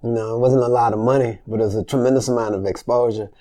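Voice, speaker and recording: A man who grew up in Memphis, a vocal coach and producer, telling animated stories in a casual interview and acting out the voices he quotes. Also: mid-range voice, quick pace. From Memphis, Tennessee.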